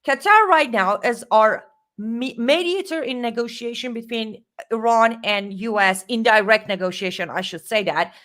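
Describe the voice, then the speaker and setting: A woman with a Middle Eastern accent co-hosting a live stream, talking in a lively, emphatic way. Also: on the high side, normal speed. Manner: animated, talkative, emphatic. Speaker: co-host. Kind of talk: casual live-stream commentary.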